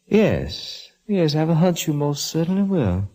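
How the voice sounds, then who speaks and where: A needling, suspicious gentleman with a gravelly edge and very animated pitch. He insinuates rather than accuses, in the manner of a 1940s radio mystery. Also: moderate pace. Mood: suspicious, sly. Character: suspicious, needling gentleman, nephew of a wealthy household. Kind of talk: 1940s radio detective acting. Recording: vintage 1940s radio recording.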